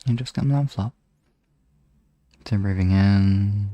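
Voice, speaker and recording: A man hosting a live ASMR hypnosis session, guiding relaxation in a soft, soothing, gently instructing manner. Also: fairly low pitch, unhurried, dry recording.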